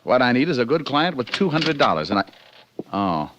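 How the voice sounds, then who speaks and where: An actor playing a slick, sharp-tongued male private detective with a glib, sardonic manner in 1940s radio drama style. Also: medium pitch, very animated, brisk, background noise, lightly acted. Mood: breezy, wry.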